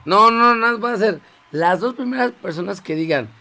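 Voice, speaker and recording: A man's voice: sits high, lively intonation, moderate pace, vocal fry, bright, forceful tone. A man with a Mexican accent hosting radio with casual, joking, teasing banter. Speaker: radio host.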